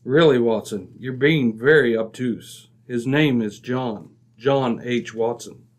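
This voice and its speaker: A man playing a composed, observant British gentleman detective, crisp, dry and a little superior, in an old-time radio drama. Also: mid-range voice, slow pace, natural voice. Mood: dryly chiding.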